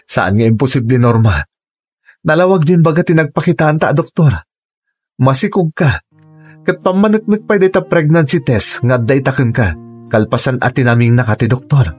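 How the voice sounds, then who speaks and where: An actor playing a gentle, caring father and husband in an Ilocano radio drama, speaking in an earnest, tender and measured way with an Ilocano accent. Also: mid-range voice, husky, faint music behind, natural voice. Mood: caring concern.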